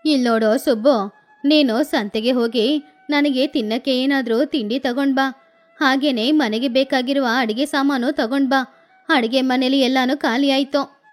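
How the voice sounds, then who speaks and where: A woman's voice: high-pitched, fairly even intonation, moderate pace, soft background music, pitched below the performer's own voice, lightly acted. Woman voicing a quarrelsome old mother-in-law. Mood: demanding. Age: older. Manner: scolding, quarrelsome. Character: greedy, sharp-tongued old mother-in-law.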